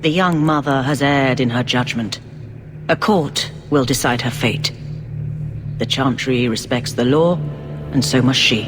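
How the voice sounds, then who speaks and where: A man playing a stern, dignified religious authority in a fantasy video game, speaking in a measured, formal way. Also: mid-range voice, big-room sound, over music, natural voice. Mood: grave, reproving.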